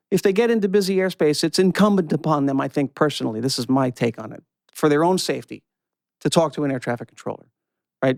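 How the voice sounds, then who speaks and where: A man's voice: on the high side, a little mellow, no room sound. A middle-aged man speaking as an interview guest, explaining technical matters step by step in a conversational, storytelling way. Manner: methodical, explains jargon step by step. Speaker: guest, former air traffic controller.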